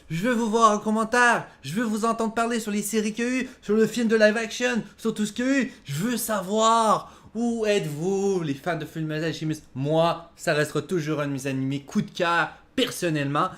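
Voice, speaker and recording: A man's voice: sits high, fairly even intonation, brisk, hardly any pauses, fairly bright tone. A man giving casual, playful reaction commentary, exclamatory and slangy, with English words mixed in. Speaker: host of a reaction video.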